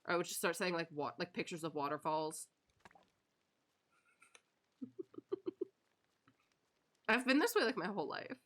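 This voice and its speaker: A woman's voice: lowish voice, very animated, slow pace, pauses often, fairly bright tone. A woman in her thirties, a streamer chatting casually while she plays. She tells stories in a loose, rambling way, laughs often and swears offhandedly. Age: 30s. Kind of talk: casual chat while gaming.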